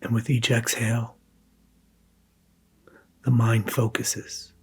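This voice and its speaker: A man guiding a scripted meditation in a soft, hushed, breathy manner, leaving long pauses between phrases. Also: mid-range voice, level tone. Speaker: meditation guide.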